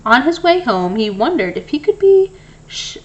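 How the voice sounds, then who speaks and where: A woman narrates a children's story as a read-aloud. Her intonation is very lively, rising and falling widely through the sentences. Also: sits high, moderate pace, highs cut off. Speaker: storybook reader.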